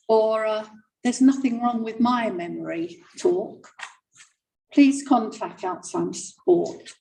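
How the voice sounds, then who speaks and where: A woman volunteer reading a charity's report aloud at a public meeting, projecting clearly. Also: medium pitch, darkish tone, rough recording. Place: council meeting room.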